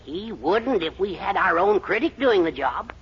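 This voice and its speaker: An actor playing a fussy, henpecked middle-aged American husband and eager schemer, blustering and hesitant in 1940s radio comedy style. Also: male voice, high voice, normal speed, breathy edge, full character voice. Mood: eager scheming. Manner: excitable, blustering, hesitant stammers. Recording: dull, noisy 1940s radio recording.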